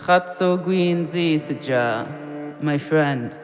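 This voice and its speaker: A man's voice: fairly high pitch, drawn-out words. A man playing the solemn, summoning spirit of a lost friend in an audio drama. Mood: solemn, beckoning. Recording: long cavernous reverb over music.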